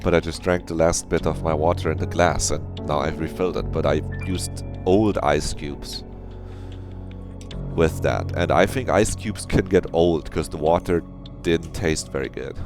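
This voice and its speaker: A man's voice: extremely low pitch, normal speed, music in the background. A man streaming a visual novel, reading it aloud expressively and breaking off for casual asides. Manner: dramatic, expressive reading, swears freely.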